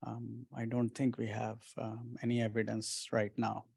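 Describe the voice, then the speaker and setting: A man on a doctors' panel answers a question in a measured, careful way. His voice stays flat, with little rise and fall, and he fills his pauses with hesitations. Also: medium pitch, vocal fry, breathy edge. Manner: measured, hedging, many fillers.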